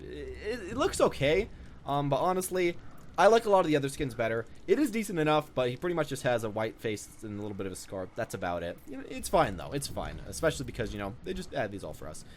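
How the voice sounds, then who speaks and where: Lively, fast-talking young man with background noise. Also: medium pitch. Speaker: gaming video creator. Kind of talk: casual off-the-cuff game showcase commentary.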